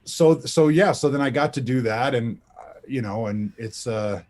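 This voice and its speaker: A middle-aged man with a strong, powerful voice, talking in a relaxed interview. He tells his stories with plenty of life and slips into quick impressions of the people in them. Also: mid-range voice. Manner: animated storyteller, acts out quotes. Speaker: voice actor guest. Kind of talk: casual interview chat, storytelling.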